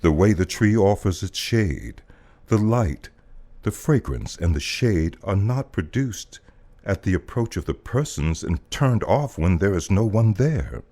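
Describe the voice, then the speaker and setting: Male voice-over narrator, deep register, highly varied intonation; solemn, emphatic, poetic spiritual reading. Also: animated, unhurried, dark tone.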